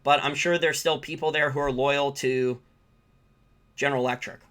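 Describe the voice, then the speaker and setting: A man's voice: mid-range voice, fairly even intonation, moderate pace, fairly bright tone. An American man giving informal, opinionated, off-the-cuff financial market commentary as a channel host, repeating points for emphasis. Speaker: finance channel host answering live viewer questions.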